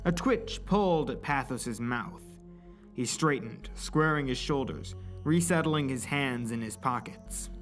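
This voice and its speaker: A man narrates a dramatic scripted story in a medium-pitched voice. He acts as storyteller for an audio drama, with faint music beneath his words. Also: lively intonation, a little slow, a bit bright.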